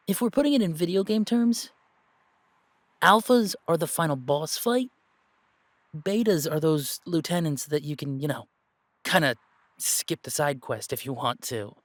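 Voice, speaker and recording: A man acting an earnest werewolf pack member in an audio drama, reasoning aloud in an emphatic, halting, explanatory way. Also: on the high side, no echo. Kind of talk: dramatic audio roleplay dialogue.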